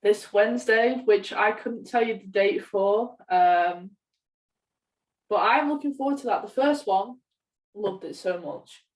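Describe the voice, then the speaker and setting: A woman's voice: mid-range voice, a little slow. Woman chatting casually, far from mic. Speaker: podcast co-host. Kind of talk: casual chat about video games. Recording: distant from the mic.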